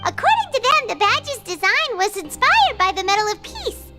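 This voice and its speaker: Perky tiny girl companion, very high voice, bouncy intonation, game music behind. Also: sing-song, normal speed, sharp tone, heavily acted. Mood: curious.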